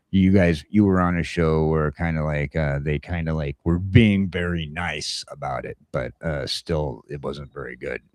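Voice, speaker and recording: A man's voice: extremely low pitch, a little mellow, no room sound. A man hosting a casual talk show, rambling along and repeating phrases for emphasis. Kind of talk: casual chat.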